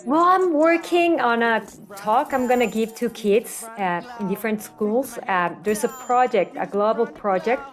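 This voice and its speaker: A woman's voice: a little high, a little slow, hardly any pauses, faint music behind. A middle-aged woman from Mexico City with a Mexican accent and Spanish as her first language, telling personal stories casually as an interview guest, acting out quotes. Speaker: interview guest, health coach.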